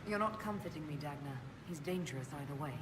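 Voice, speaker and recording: Actress as very low-voiced, composed heroine. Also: female voice, fast talker, natural voice. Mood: questioning. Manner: composed, direct, formal. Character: composed, authoritative female leader, a mage heroine. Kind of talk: dramatic video game cutscene acting. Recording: hall reverb with background noise.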